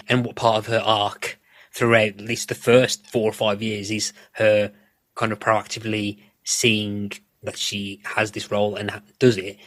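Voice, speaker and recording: A man's voice: fairly low pitch, a bit bright, dry recording. A man hosting a casual podcast discussion, working through his thoughts in a rambling, self-correcting way. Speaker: podcast host.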